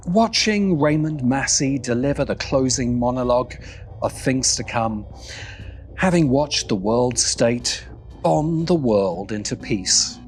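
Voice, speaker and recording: A man narrating a scripted video essay in a deliberate way, with dramatic pauses. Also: medium pitch. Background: background music.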